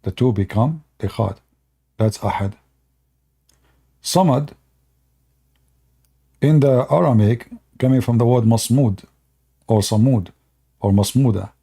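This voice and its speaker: A man's voice: mid-range voice, slow, gravelly, pauses often, dark tone, slightly rough. A man whose first language is Arabic, explaining word origins in unscripted talk, hesitant with many fillers and restarts. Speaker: religious commentator.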